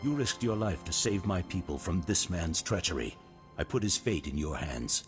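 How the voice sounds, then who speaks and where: An actor playing a noble ruler with formal, grand oratory in a video game. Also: male voice, sits low, lively intonation, normal speed, music in the background. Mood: stern. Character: stately, grateful male noble ruler.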